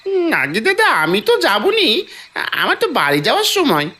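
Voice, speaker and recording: Very high-pitched rustic local man. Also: wildly swooping pitch, normal speed, pushed and bright, exaggerated voice. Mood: dismissive. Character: jittery rustic local man, warning a stranger.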